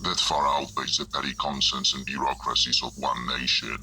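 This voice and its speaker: A man playing a shadowy, calm and persuasive conspirator in an audio drama, speaking in a measured, formal way. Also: a bass voice, soft background music, exaggerated voice, rough recording. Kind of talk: amateur audio drama acting.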